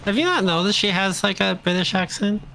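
A fairly high-pitched man talks casually into a headset while gaming with a friend. He rambles through jokey stories with a light hiss in the background. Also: animated, fairly quick, vocal fry. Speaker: streamer. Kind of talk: casual co-op gameplay chat.

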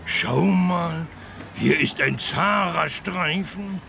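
Cartoon elephant, very creaky gravelly voice, slow, naive, wondering, good-natured, children's game. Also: male voice, on the high side, bright, forceful tone, slight background noise, heavily acted. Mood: wondering, friendly. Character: big good-natured talking elephant, childlike and easily excited. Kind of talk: children's learning game voice acting.

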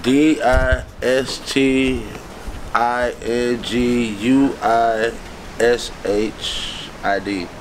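A man's voice: medium pitch, flat delivery, extremely slow, rough recording. A man competing in a casual spelling contest, bantering and spelling words aloud outdoors. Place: outdoor back deck. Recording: clear outdoor background noise.

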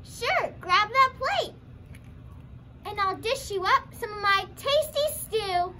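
A woman's voice: extremely high pitch, lively intonation, takes it slowly, exaggerated voice. A young female student voicing a puppet character in a scripted comic puppet show. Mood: friendly, sly. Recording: faint background noise.

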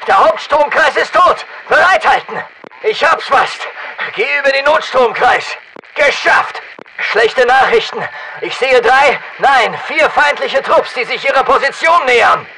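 Battle-hardened special forces operator for a shooter game: high-pitched, fry-edged, clipped radio commands. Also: male voice, expressive, measured pace, bright, forceful tone, a little hiss or hum, exaggerated voice, low-quality recording. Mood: focused. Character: masked male special forces soldier, terse and battle-hardened. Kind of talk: military radio callouts in a shooter game dub.

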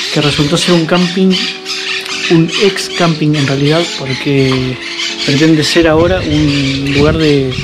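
A man in his thirties with an Argentine accent, chatting casually to an action camera held at arm's length outdoors while touring by bike. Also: on the high side. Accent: Argentine Spanish. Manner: chatty, easygoing, thinks aloud with fillers. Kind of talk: casual travel vlog talk to camera. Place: outdoors at an abandoned campground.

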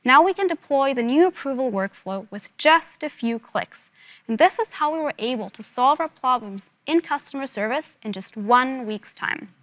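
A woman's voice: sits high, expressive, normal speed, fairly bright tone. A woman in her thirties giving a rehearsed product demonstration as a presenter at a company keynote.

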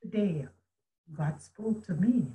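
A woman's voice: medium pitch, slow, warm tone, low-quality recording. A middle-aged woman telling a personal story as a guest on a video call, earnest and reflective, often restarting her sentences. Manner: earnest, reflective, with many restarts and quoted speech. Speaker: author and guest. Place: room at home with a chandelier. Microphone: video call webcam.

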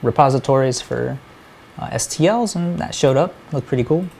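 A man co-hosting a casual live stream from a home workshop, easygoing and steady as he runs through announcements. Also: mid-range voice, moderate pace, creaky, a little hiss or hum. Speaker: co-host.

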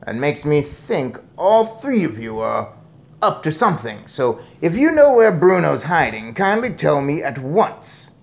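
An irate English father from a children's story, blusters at high volume. His voice rises in pitch as he splutters pompously. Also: very animated, a little slow, gravelly. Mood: furious.

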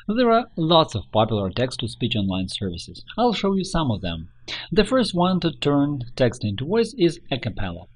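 A man narrates a scripted how-to tutorial as its presenter. His pitch moves up and down in a very animated way as he explains each step.

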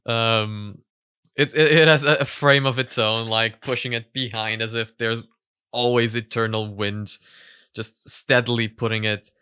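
A man in his twenties giving casual, animated reaction commentary with halting starts, close on a studio mic. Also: mid-range voice, clear, resonant tone, no room sound. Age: 20s. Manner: halting, animated, laughs easily. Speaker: host of a reaction video series. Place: small room at home, indoors. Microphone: close boom-arm studio mic.

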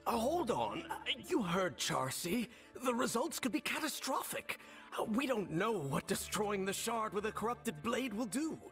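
A man's voice: high voice, very animated, moderate pace, a bit bright, soft background music, exaggerated voice. A man playing an anxious, cautious companion in a video game, urgent and hesitant. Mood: alarmed. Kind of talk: dramatic video game dialogue.

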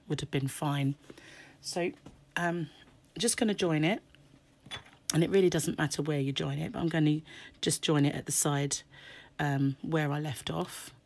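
A woman with a croaky, hoarse voice chatting through a crochet tutorial at a table, full of fillers and throat clearing. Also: sits low, darkish tone. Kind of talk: casual tutorial explanation. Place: home table, indoors. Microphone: camera over hands, speaker off-screen.

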